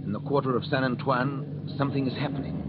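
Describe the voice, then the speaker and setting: An actor as a grave storyteller, narrating a historical radio drama in a portentous, rhythmic, deliberate way. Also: male voice, medium pitch, very noisy, close to an everyday voice. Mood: urgent, dramatic. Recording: dull vintage radio, loud music behind.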